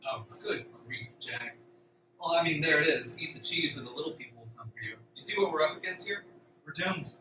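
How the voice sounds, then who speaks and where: A man's voice: a little high, a little fast, natural voice. Man acting a skeptical, exasperated farm worker. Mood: dismissive, exasperated. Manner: dismissive, sardonic. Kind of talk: amateur radio drama dialogue. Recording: roomy, distant mic, noisy background.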